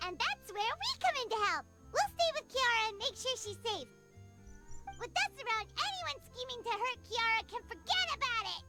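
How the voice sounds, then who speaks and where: Extremely high, chirpy fairy companion. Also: female voice, very animated, normal speed, sharp tone, soft background music, heavily acted. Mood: boastful, eager. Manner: refers to herself in third person, chirpy. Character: small floating childlike fairy companion, boastful, protective, excitable. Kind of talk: video game voice acting.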